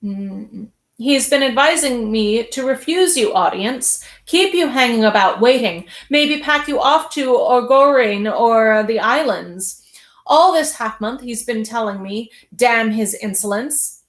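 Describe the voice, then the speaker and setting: A shrill, paranoid king who snarls and spits insults in a voice pitched on the high side, as a woman puts him on. He rants with bitter arrogance. The reading sits in a roomy, echoing space. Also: lively intonation, unhurried. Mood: ranting. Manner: ranting, snarling, spitting out insults.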